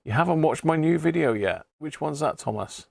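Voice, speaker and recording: Man, croaky gravelly voice, mid pitch, dry close sound, casual live-stream chat. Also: animated, normal speed, a little mellow, no room sound. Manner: chatty, greets viewers by name, self-deprecating. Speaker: radio repair livestream host.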